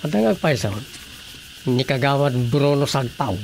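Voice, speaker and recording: Creaky, grumbling Ilocano villager. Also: male voice, medium pitch, animated, moderate pace, a little mellow, slight background noise. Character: grumbling, indignant villager condemning wrongdoers. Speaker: voice actor. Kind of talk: radio drama comedy acting.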